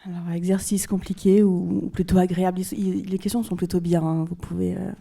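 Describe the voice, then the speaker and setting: Woman moderator, Q&A; raspy, gravelly, friendly. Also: on the low side, flat delivery, fairly quick, mellow. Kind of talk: hosting a Q&A.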